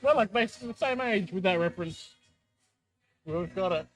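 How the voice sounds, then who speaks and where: A drunk man in his twenties rambling and joking deadpan in casual banter on a vlog. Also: high-pitched, fairly quick, soft background music. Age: 20s. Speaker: vlog co-host.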